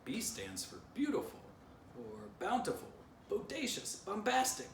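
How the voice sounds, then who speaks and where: Storybook male dog, a man acting: calm tactful leader, reassuring, animated intonation, distant noisy recording. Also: high-pitched, measured pace, reverberant, pitched above the performer's own voice, exaggerated voice.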